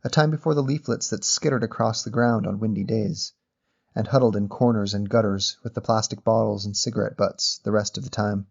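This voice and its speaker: A man reading a literary short story as narrator in a measured, descriptive manner. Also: lowish voice, steady tone, vocal fry, highs cut off.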